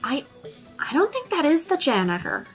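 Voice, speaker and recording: A woman playing a cautious, hesitant junior high girl in a tabletop game. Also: wildly swooping pitch, normal speed, soft background music. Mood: wary.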